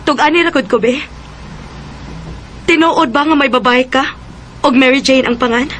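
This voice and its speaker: A woman's voice: sits high, normal speed, fairly bright tone, a little hiss or hum. An actress with a Cebuano Filipino accent playing a hurt, plaintive young wife and mother in a radio drama. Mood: hurt, accusing. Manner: plaintive, accusing. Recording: radio drama with music underneath.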